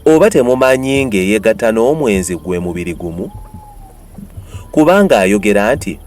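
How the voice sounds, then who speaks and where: A man's voice: lowish voice, lively intonation, moderate pace, a little mellow. A man with a Ugandan accent gives a dramatized, declamatory Bible reading with emphatic delivery. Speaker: Bible reader.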